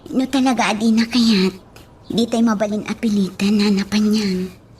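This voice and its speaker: A woman's voice: medium pitch, fairly even intonation, moderate pace, darkish tone, a little hiss or hum, natural voice. A woman playing an earnest, plaintive young woman in an Ilocano radio drama. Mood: pleading, hurt. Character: earnest, troubled young woman defending her sweetheart.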